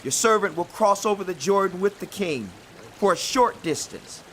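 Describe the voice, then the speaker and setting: A man's voice: sits high, animated, moderate pace, a bit bright, slight background noise. An actor playing a humble eighty-year-old man, pleading in rhetorical questions in a dramatized audio Bible. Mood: humble, weary. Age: 80s. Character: very old, humble, wealthy man declining a royal invitation.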